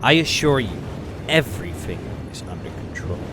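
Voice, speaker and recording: An actor voicing an arrogant, theatrical mad-scientist wizard in a comic-book dub, pompous and menacing. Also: male voice, lowish voice, sing-song, moderate pace, background noise. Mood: coldly menacing. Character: arrogant, vengeful male mad-scientist wizard, obsessed with vindication.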